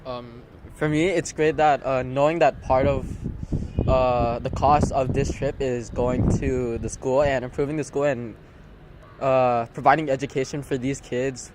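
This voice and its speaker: A man's voice: medium pitch, fairly even intonation, unhurried. A young man from Thailand answering casually as a student in an outdoor interview, searching for words. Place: outdoors in a city. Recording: faint outdoor street noise.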